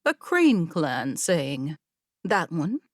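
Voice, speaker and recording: A woman narrating an audiobook story in a measured, expressive storytelling manner. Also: deep voice, no room sound, studio quality. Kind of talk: scripted fiction narration.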